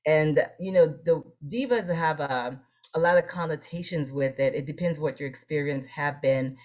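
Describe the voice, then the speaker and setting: Low-pitched, emphatic woman, slightly dull recording. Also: normal speed, darkish tone. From Haiti. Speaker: interview guest, optometrist and leadership coach. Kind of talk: earnest conversational interview.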